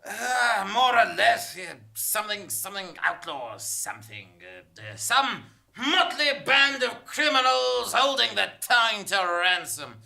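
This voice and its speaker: A worn-out old gunslinger, acted on stage, grumbling and hesitant in a comic radio play. The voice has plenty of creak and moves slowly. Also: mid-range voice, big pitch swings, sharp tone, heavily acted. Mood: flustered defiance. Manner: hesitant, muttering, trailing off. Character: weary, faltering retired gunslinger, grumpy reluctant hero.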